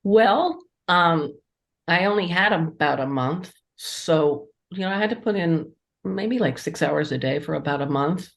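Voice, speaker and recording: A woman's voice: lowish voice, expressive, a little slow, creaky. A woman speaking conversationally as an interviewed writer, enthusiastic and appreciative in her manner. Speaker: interviewed playwright and editor.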